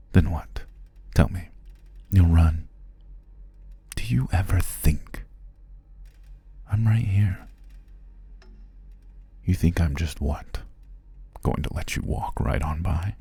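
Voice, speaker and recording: Man playing a very husky, low, menacing captor. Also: a little mellow, no room sound, exaggerated voice. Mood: menacing, exasperated. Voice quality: husky, breathy, often near whisper. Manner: slow, condescending, taunting murmur. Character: cruel possessive male stalker captor, condescending and menacing. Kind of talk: intimate ASMR roleplay.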